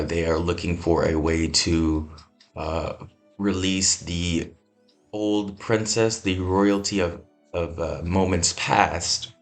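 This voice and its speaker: A man's voice: sits low, a bit bright. A man hosting a laid-back gameplay podcast just after waking up, his voice scratchy and drowsy, rambling easily with lots of fillers and restarts. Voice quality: scratchy morning voice. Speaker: let's-play host.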